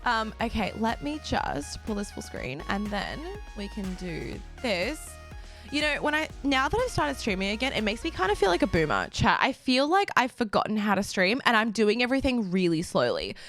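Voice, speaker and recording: Bubbly young woman streamer, lively voice. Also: a little high, soft background music. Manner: bubbly and chatty, laughs often, quick asides to chat. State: slightly raspy, just woken up. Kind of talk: casual chat with a live stream audience.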